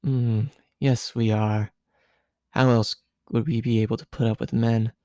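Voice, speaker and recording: Man reading as a bossy, teasing teenage girl, mellow. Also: medium pitch, level tone, a little slow, dry recording, clean studio sound, close to an everyday voice.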